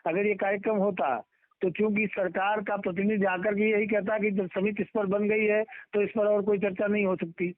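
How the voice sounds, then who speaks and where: A man's voice: sits high, flat delivery, moderate pace, no echo. A middle-aged man in an informal phone conversation, repeating words and phrases emphatically, as a union leader. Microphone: telephone. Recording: muffled recorded phone call.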